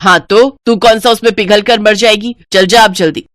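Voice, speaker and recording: A woman's voice: medium pitch, steady tone, normal speed, a bit bright, a little hiss or hum, lightly acted. Woman acting a harsh, scolding Indian mother-in-law. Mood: bossy. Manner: harsh taunting and insults.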